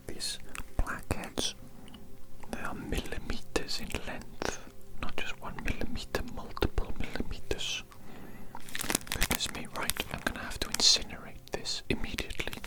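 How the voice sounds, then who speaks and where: A man whispering in a breathy, unvoiced voice, playing an eccentric, fussy doctor in an ASMR roleplay, with repetitive phrasing and a close microphone. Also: poor audio. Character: eccentric, fussy evil doctor pampering a captive patient. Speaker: ASMR performer. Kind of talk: whispered ASMR roleplay of a personal grooming session.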